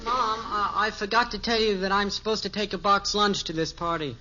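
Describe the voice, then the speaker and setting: An actor playing an earnest, awkward, argumentative American teenage son in a 1950s radio family sitcom. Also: male voice, high-pitched, steady tone, fairly quick, lightly acted. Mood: sheepish. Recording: noisy, muffled vintage radio recording.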